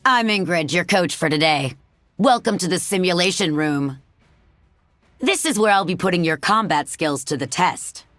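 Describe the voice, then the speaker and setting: Game role: strict woman executive and combat coach. Lowish, commanding, no-nonsense, very expressive pitch. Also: moderate pace, no room sound, faint music behind. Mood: stern. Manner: stern, commanding, clipped. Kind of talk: English video game voice acting.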